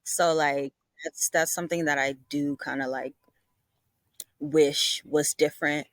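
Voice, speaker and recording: A woman's voice: deep voice, fairly even intonation, a little slow, no room sound. A young woman with a Southern accent, talking as a guest in a casual conversation, rambling with lots of fillers. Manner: rambling, lots of "like" and "you know".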